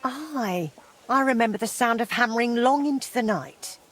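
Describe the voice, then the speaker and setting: A woman playing a forgiving, no-nonsense townswoman past her youth in a dramatic game, with a brisk, kindly manner. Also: fairly high pitch, a bit bright. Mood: kindly, matter-of-fact. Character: forgiving, brisk older townswoman, self-described old crone.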